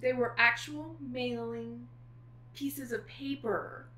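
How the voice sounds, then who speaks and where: A middle-aged woman guest author chatting casually, telling stories in an animated way with thoughtful pauses. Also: on the high side. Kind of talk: casual chat. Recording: echoey room with faint noise.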